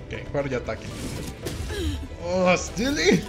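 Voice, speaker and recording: A man's voice: high-pitched, huge pitch swings, normal speed, over music. A man giving casual playthrough commentary as a gaming streamer, reacting aloud in an informal way.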